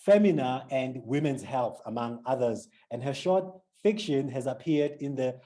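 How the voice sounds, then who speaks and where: A man's voice: mid-range voice, lively intonation, moderate pace, mellow. A man with a South African accent interviewing an author, first reading an introduction and then asking questions with many restarts. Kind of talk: book interview.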